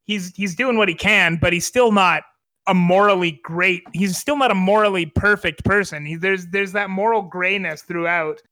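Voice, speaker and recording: A man's voice: sits high, normal speed, pushed and bright. A young man co-hosting a podcast, talking casually about a film with frequent fillers and restarts. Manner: chatty, many fillers and restarts. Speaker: podcast co-host.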